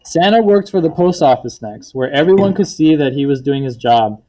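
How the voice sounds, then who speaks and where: A man's voice: on the high side, animated, normal speed, mellow, rough recording. Man reading a storybook aloud to children. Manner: explains words simply, checks understanding with questions. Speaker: teacher reading a picture book to children. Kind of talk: read-aloud storytelling with questions to a class.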